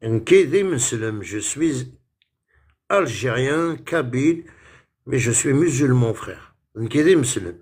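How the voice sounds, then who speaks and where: Husky man ranting on a live stream. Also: medium pitch, lively intonation, slow, darkish tone. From France. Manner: indignant, repetitive, switching into Arabic words. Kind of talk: heated casual live-stream rant.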